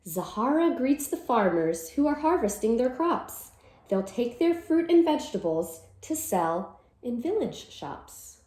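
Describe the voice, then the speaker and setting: Woman reading aloud, lively pitch, echoey room. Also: unhurried. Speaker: teacher reading a picture book aloud to children. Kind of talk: read-aloud storytime with simple explanations.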